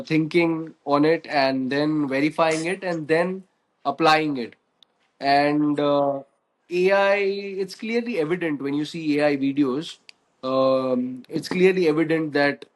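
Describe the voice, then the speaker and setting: A man speaking steadily as a panel guest, listing examples. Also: a little high. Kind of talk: panel discussion.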